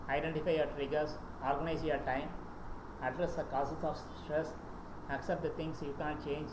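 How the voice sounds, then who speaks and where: A man with an Indian English accent, a native Tamil speaker, giving a presentation and reading out lists with occasional fillers and restarts. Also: on the high side. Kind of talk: lecture presentation. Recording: echoey room with background noise.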